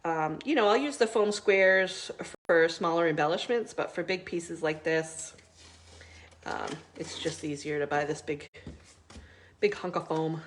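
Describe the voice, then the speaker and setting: A woman's voice: low voice, measured pace, slight background noise. A middle-aged woman hosting a live crafting video, chatting casually with many ums while she works, still stuffy from a cold.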